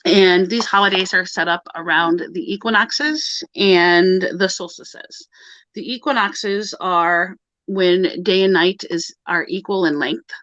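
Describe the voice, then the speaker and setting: A woman's voice: fairly low pitch, takes it slowly, a bit bright, no echo. A woman co-hosting a pagan livestream, chatting casually and laughing often as she rambles through the topic. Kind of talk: casual group chat on a live video call. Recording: dull dry video-call audio.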